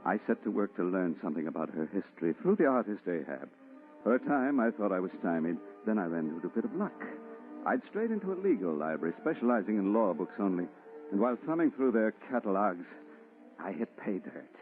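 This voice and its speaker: A man's voice: on the low side, sing-song, brisk, faint music behind. Haunted, frantic man, muffled old radio. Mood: brooding narration. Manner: dramatic, stammering, swinging from brooding to frantic. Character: haunted, agitated man obsessed with a ghostly woman. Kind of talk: old-time radio horror acting. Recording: muffled, distorted vintage radio recording.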